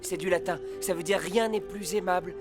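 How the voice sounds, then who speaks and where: An actor playing the timid, kindly ghost of a Roman gladiator in an animated cartoon, hesitant and dramatic. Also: medium pitch, brisk, natural voice. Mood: grateful farewell. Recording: music behind the voice. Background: cartoon score.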